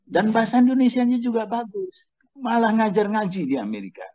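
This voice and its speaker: A man's voice: sits high, animated, fairly quick, warm tone. An older man leading a casual panel discussion, making his point through examples. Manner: steering talk with examples. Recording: dull lo-fi sound.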